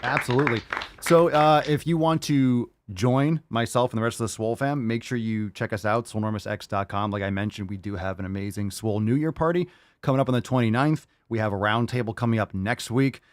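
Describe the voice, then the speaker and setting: A man's voice: mid-range voice, brisk, no echo. A man hosting a fitness podcast, reading listener posts aloud and cheering them on in casual, profane, hyped-up chat. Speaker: podcast host.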